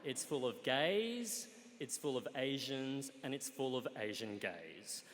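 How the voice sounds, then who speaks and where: A man with an Australian accent telling a comic personal story on stage, wry and playful, with deadpan punchlines. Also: medium pitch, takes it slowly. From Australia, Sunshine Coast, Queensland. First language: Cantonese. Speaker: guest storyteller. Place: stage in a live event hall with an audience. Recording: reverberant live hall.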